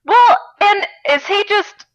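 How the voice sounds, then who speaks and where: A woman co-hosting a podcast in casual comedic chat, joking in an animated, profane way. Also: extremely high pitch, takes it slowly, lo-fi sound. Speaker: podcast co-host. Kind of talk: casual comedic chat and fan fiction reading.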